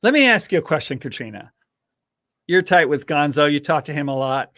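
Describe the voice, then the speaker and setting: Lively-voiced man hosting a live chat. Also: a little high, brisk. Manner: playful, asks questions and jokes with the chat. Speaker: host of a fan podcast. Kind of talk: casual live-stream interview.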